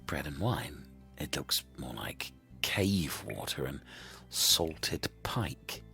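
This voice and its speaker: A man voicing a gruff, sceptical castaway in a comic audio story, deadpan, sarcastic and ponderous. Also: very deep voice, slow, soft background music, exaggerated voice. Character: gruff, sceptical man named Gav among shipwrecked lads.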